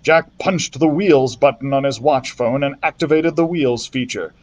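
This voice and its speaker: A male librarian reading a children's adventure book aloud in a steady storytelling manner, with the odd slip. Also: mid-range voice, moderate pace. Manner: steady storytelling narration with small slips.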